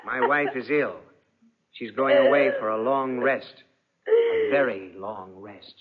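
An actor playing a coldly cruel, genteel husband with mock tenderness, in 1940s radio drama style. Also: male voice, medium pitch, wildly swooping pitch, slow. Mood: feigned concern. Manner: suave, mock-tender, pompous. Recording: muffled, dull 1940s radio recording.

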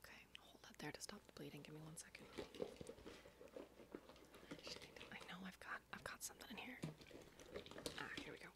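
A woman's voice: low voice, expressive, fairly quick, long pauses. A woman playing a brash, wisecracking zombie-apocalypse survivor in a whispered ASMR horror roleplay, casual, rambling and sweary. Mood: wry, focused.

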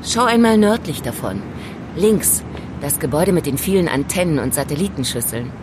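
A woman's voice: sits low, animated, normal speed, background noise. A woman playing an elegant, composed young informant in an audio drama, explaining things in a teasing, didactic way. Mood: explaining, confident. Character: elegant, self-assured young woman, calm knowing informant. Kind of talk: German audio drama acting.

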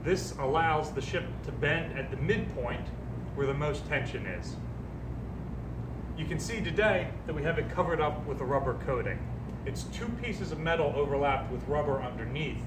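A man in his thirties, a museum curator, giving a steady, informative guided tour on the deck of a ship. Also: a little high, moderate pace. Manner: steady, informative, points things out while crouching. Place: outdoors on a battleship deck. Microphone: camera some distance away. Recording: distant mic with loud outdoor noise.

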